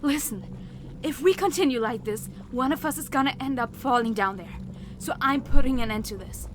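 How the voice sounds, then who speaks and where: A woman playing a remorseful female enemy in an audio drama, earnest and halting as she pleads. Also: high voice, noisy background, natural voice. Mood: firm, weary.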